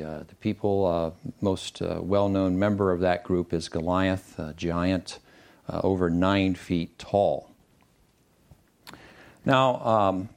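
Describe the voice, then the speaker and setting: A male pastor preaching a sermon to his congregation in a conversational way, with frequent pauses and fillers. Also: deep voice.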